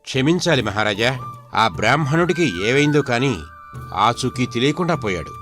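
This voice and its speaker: A man voicing a royal chief minister, respectful and formal, in an animated folk tale. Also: mid-range voice, normal speed, music in the background, close to an everyday voice.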